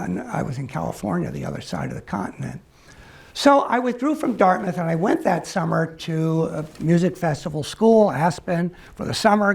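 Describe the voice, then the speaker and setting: Gravelly, husky older American man. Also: fairly high pitch, darkish tone. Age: 60s. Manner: halting, reflective delivery with pauses. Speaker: academic speaker at a forum. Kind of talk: personal testimony talk, reflective storytelling.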